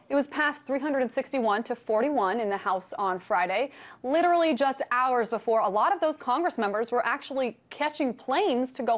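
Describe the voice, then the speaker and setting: Higher-pitched woman anchoring the news. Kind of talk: news presenting and panel interviewing.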